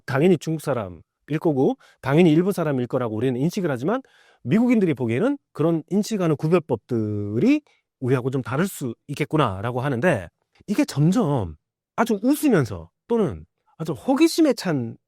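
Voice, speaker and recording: A man's voice: on the high side, darkish tone, dry recording. Middle aged guy, expert explaining stuff, very up and down intonation, emphatic, dry room. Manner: conversational, rhetorical questions, emphatic storytelling. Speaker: guest expert, professor of American culture. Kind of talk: explanatory interview talk.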